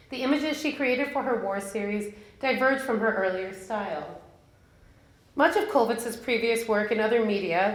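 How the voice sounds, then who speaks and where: A woman curator giving an academic lecture, reading a scholarly script after a casual opening with a few fillers. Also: mid-range voice. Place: museum lecture hall. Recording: echoey lecture hall.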